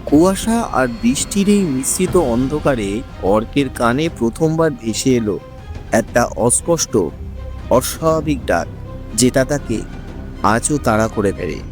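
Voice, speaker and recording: A man narrating a horror story in a suspenseful, drawn-out, dramatic way, as the show's host. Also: mid-range voice, expressive, soft background music.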